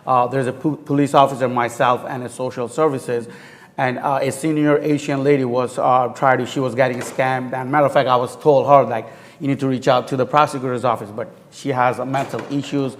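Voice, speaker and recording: Man, panelist, mid-pitched voice, earnest and halting, non-native grammar, hall reverb. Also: level tone. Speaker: panelist working with immigrants. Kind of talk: panel discussion. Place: university forum hall.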